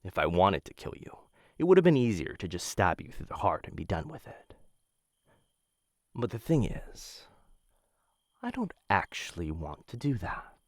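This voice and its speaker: A man playing a menacing, infatuated assassin in a boyfriend ASMR roleplay, speaking low, hushed and intimate into a close microphone, teasing and often slipping into whispers. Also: huge pitch swings, moderate pace, long pauses, dry recording, full character voice. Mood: cool, explaining. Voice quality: low, gravelly.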